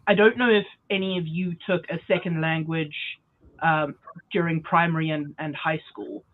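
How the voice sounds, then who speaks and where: A woman's voice: low-pitched, moderate pace. South african woman chatting on a podcast, sounds like a phone line, lots of ums. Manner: animated, emphatic, frequent fillers. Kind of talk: casual chat.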